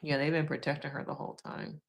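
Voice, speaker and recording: Woman, live-stream host, slightly low pitch, casual chatty, frank opinions, laughs a lot, losing her voice. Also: flat delivery, fast, gravelly. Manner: chatty, frank, laughs often, addresses viewers as y'all. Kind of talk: casual live-stream chat reacting to viewer comments.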